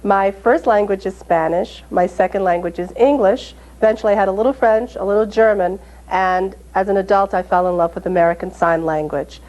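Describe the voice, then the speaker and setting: A woman from New York introducing herself as an interpreter and presenter, speaking clearly and at a measured pace. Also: medium pitch, a little mellow, highs cut off. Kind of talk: recorded panel presentation.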